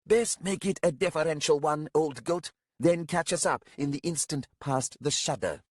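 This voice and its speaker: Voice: medium pitch, normal speed, no echo, clean studio sound, voice pushed up, lightly acted. A man voicing a proud, touchy young male warrior leader who declaims in indignant, archaic speech in a story. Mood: scornful, commanding.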